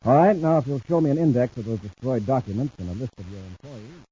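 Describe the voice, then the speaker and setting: A man's voice: mid-range voice, warm tone, breathy edge. An American man playing a decisive, authoritative space patrol commander in a 1950s radio serial. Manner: brisk, commanding. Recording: muffled 1950s radio recording.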